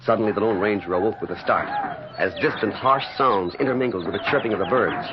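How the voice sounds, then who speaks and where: A man's voice: lowish voice, fast talker, heavy background noise. A man narrating an old radio drama in a theatrical, declamatory announcer style, on a muffled vintage broadcast recording. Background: music.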